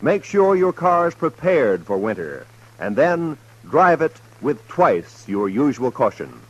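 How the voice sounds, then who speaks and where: A man's voice: mid-range voice, big pitch swings, slow, lo-fi sound. A man announcing in scripted, old-time 1950s radio style.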